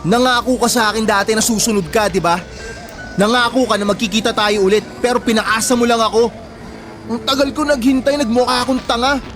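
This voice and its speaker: A man's voice: extremely high pitch, steady tone, a little fast. A man with a Filipino accent playing a wounded, resentful teenage younger brother in a radio drama. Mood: bitter, hurt. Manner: defensive, resentful, sharp-tongued. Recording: music bed under dialogue. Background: background music.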